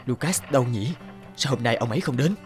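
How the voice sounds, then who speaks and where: An actor playing a stern, stubborn middle-aged village chief in a cartoon, gruff and commanding. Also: male voice, medium pitch, expressive, fairly quick, lightly acted. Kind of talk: cartoon dub acting. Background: background music.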